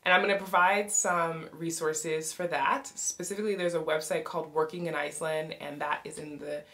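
A woman's voice: low voice, fairly even intonation, moderate pace, a bit bright. An American woman in her thirties talking to camera from a small bedroom, explaining things in an upbeat, chatty way. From United States. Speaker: vlogger and podcast host. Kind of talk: informative casual talk to camera. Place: small bedroom with sloped ceiling.

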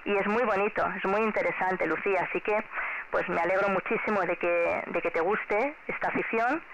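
A woman's voice: medium pitch, a little fast. A middle-aged woman with a Spanish accent from Spain, chatting over amateur radio in a friendly, encouraging way and often repeating herself. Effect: two-way shortwave radio transmission. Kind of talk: casual radio contact chat addressed to a child. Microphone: heard through a radio transceiver speaker. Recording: noisy, muffled amateur radio signal.

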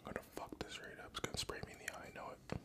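A young adult whispering crude, rambling chat close to a studio microphone at home, a little tipsy. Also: rapid-fire. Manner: profane, rambling, joking. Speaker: ASMR creator. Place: home room. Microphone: studio USB microphone.

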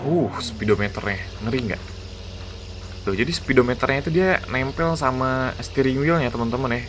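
A man giving excited, slangy gameplay commentary as a gaming YouTuber. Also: mid-range voice, normal speed, a little hiss or hum. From Indonesia. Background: background music.

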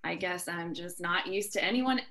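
A woman's voice: on the low side, normal speed, bright, forceful tone. A young actress playing a lonely, vulnerable woman estranged from her family, read over a video call. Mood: subdued, awkward. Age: young adult. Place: room at home, indoors. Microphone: laptop mic on video call.

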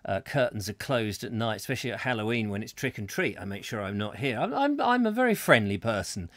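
A man's voice: on the low side, very animated, brisk, fairly bright tone, no room sound. A middle-aged English man hosting a comic chat show from a small home studio, playing up a stuffy persona and dropping to a mutter for his jokes. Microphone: headphones, desk mic.

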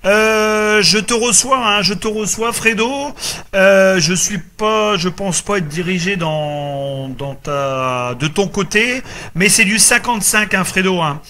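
A man's voice: high voice, takes it slowly, pushed and bright, a little hiss or hum. A man chatting casually as a radio operator, often repeating himself. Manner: repeats himself, tag words. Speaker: amateur radio operator running a group contact.

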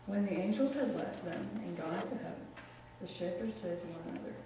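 A man reading a Bible passage aloud at a church lectern in a steady, measured way. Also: sits high, background noise. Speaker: scripture reader. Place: church sanctuary. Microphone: lectern microphone, far camera. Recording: echoey church from far off.

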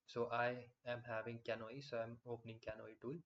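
Man with flat, low-key tutorial voice. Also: a little fast, muffled recording. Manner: explanatory, many fillers and restarts. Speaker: software tutorial instructor. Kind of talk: screen-recorded software tutorial.

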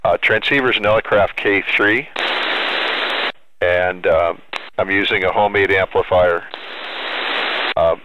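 An older American man speaking as a friendly amateur radio operator in a deliberate call-sign exchange. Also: low-pitched, steady tone, lo-fi sound. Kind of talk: ham radio contact, spelling out call signs. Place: small home radio shack, indoors. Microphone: handheld boom microphone up close. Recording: loud radio static, clicks.

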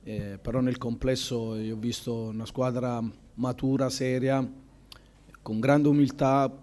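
A football coach in his forties speaks at a table microphone in a press room after a match. His voice is mellow and dark, and he answers in a measured, thoughtful way with frequent pauses and fillers. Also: medium pitch, level tone. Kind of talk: post-match press conference. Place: press room in front of a sponsor backdrop.

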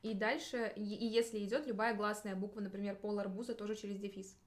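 A woman's voice: mid-range voice, fairly even intonation, quick pace. A woman in her twenties teaching in a casual, chatty and encouraging way, as a language tutor explaining rules in conversation. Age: 20s. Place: small indoor studio.

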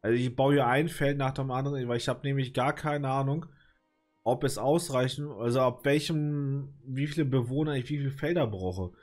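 A man with a slight cold talks through his game in a loose, rambling way, stopping often to hunt for the right spot and swearing under his breath. His voice sits at an ordinary middle pitch. Soft game music plays quietly behind him. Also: normal speed. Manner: rambling, hesitant, lots of filler, mild swearing. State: has a cold, clears throat. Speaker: let's play gamer. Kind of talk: casual gameplay commentary.

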